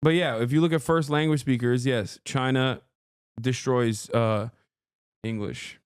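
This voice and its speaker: A man's voice: mid-range voice, steady tone, measured pace, clear, resonant tone, no room sound, clean studio sound. A man from Turkey, a native Turkish speaker, hosting a casual live-stream reaction and bluntly teasing his viewers. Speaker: streamer host.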